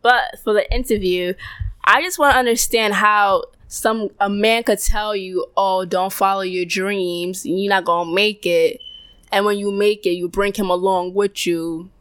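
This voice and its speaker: A woman's voice: medium pitch, steady tone, a little slow, fairly bright tone, clean tone. Woman co-host, casual chat, blunt, opinionated, slangy.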